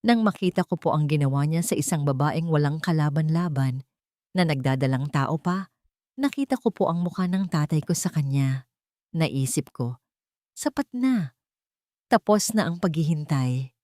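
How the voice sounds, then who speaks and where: A woman's voice: sits low, normal speed, mellow, no echo, studio quality, lightly acted. A woman voicing a grieving, polite young woman who seeks justice for her father in an audiobook drama, solemn and holding back emotion. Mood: sorrowful.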